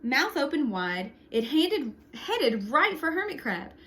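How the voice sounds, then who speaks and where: A woman reading a picture book aloud to young children, expressively, with short hushed asides to the listeners. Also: high-pitched, takes it slowly. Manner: expressive, with hushed whispered sound words and asides. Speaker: storytime reader for young children.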